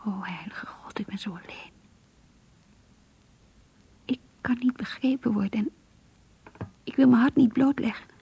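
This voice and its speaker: An actress playing an anguished, lovesick young woman confiding in her diary in a radio play, hushed and intimate. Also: fairly high pitch, unhurried, pauses often, heavily acted. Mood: anguished, pleading. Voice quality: breathy, hushed, whispery. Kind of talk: Dutch radio play monologue.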